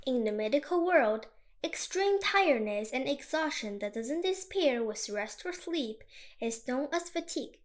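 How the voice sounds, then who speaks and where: High-voiced woman reading dictation. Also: lively intonation, normal speed. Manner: reads each sentence twice, evenly and clearly. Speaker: dictation reader.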